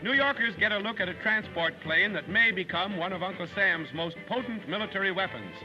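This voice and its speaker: A man's voice: high voice, lively intonation, brisk, highs cut off. An American man giving scripted 1940s newsreel narration over music on an old film soundtrack.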